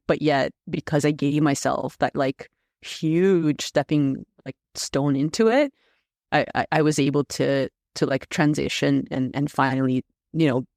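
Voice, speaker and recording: A man's voice: a little high, gravelly, darkish tone, no room sound, clean studio sound. A man from Russia, whose first language is Russian, chatting as a podcast guest, rambling with many fillers and restarts. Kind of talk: casual interview chat.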